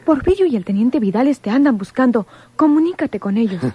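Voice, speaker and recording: A woman's voice: sits high, animated, brisk, husky, no echo, lo-fi sound. An actress with a Mexican accent playing a cautious, stern woman in a radio serial. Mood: alarmed, scolding.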